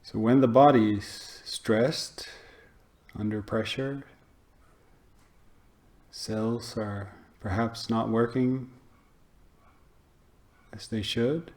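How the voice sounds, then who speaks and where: Slow, low-pitched male meditation guide. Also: steady tone, a little mellow. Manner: halting, pausing between short phrases. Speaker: meditation teacher.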